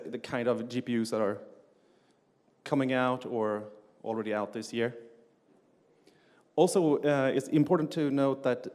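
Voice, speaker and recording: A middle-aged man explains technical material from a conference stage at a moderate pitch. He pauses often on "uh" and restarts his phrases. The sound carries a long reverb from the hall. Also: a little mellow. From Sweden. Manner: frequent "uh" fillers and restarts, plain explanatory delivery. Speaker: conference speaker, game engine developer.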